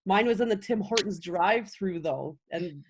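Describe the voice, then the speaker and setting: A woman's voice: medium pitch, lively intonation, normal speed, background noise. A woman co-hosting a casual chat, laughing easily and reading out viewer comments. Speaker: co-host. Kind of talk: casual chat on a live show.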